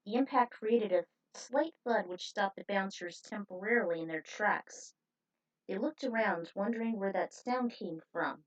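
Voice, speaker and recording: A woman casually narrating fan fiction aloud as a host, in an informal, chatty way, on a muffled recording. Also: medium pitch. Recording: dull lo-fi recording.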